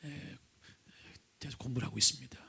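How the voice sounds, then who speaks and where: A middle-aged male pastor preaching with a hoarse, raspy voice from vocal nodules, often sinking to a breathy near-whisper and asking the congregation questions. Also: medium pitch, a little fast, pushed and bright. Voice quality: raspy, gravelly. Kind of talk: sermon with opening prayer. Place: church sanctuary, at the pulpit.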